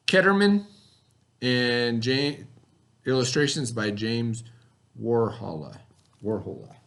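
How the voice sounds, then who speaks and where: A middle-aged man reading a picture book aloud to a class in a folksy storybook manner, pitching his voice up for the characters. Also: fairly bright tone. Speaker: teacher.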